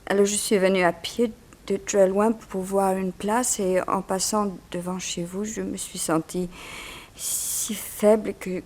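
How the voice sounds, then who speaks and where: An actress voices a frail young immigrant woman in an old radio serial. Her medium-pitched voice is faint and hesitant, with sighs and timid apologies. She sounds grateful and fragile. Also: fairly even intonation, unhurried, a little mellow, natural voice. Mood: weak, plaintive. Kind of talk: 1960s French radio serial acting.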